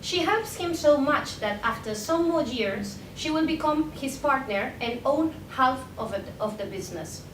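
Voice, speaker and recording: High-voiced young woman, echoey room. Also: animated, normal speed, hardly any pauses. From Spain. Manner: reading from a prepared text with occasional stumbles. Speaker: academic presenter, PhD candidate. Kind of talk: conference paper read aloud. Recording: echoey room, distant mic, faint rumbling noise.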